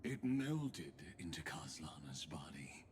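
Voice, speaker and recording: A man's voice: very deep voice, very animated, slow pace, slight background noise, heavily acted. A man playing an ancient, theatrical, sinister schemer in a video game, with whispery, grandiose delivery. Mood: solemn, scheming. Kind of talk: video game dramatic voice acting. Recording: music behind the voice.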